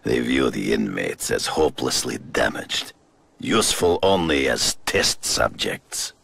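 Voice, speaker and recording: An actor playing a proud, honor-obsessed hunter villain in a video game, gravelly, formal and grandiose. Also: male voice, very deep voice, sing-song, a little slow, a bit bright, dry recording, heavily acted. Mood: grave, compliant.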